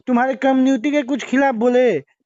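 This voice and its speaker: A man's voice: extremely high pitch, fairly even intonation, a little fast, darkish tone, no room sound. A man with a Bhojpuri-influenced eastern Indian Hindi accent, arguing on the phone in an exasperated way as a manager. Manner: exasperated, argumentative. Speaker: celebrity's manager taking a phone call. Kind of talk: heated phone argument. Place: phone call. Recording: dull lo-fi phone call recording.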